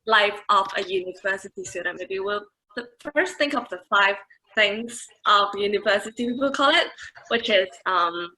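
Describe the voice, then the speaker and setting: A woman's voice: mid-range voice, lively intonation, moderate pace, bright, forceful tone, low-quality recording. A young woman, a final-year student, moderating a student sharing session on a video call with many ums. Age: 20s. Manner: friendly, filler-heavy.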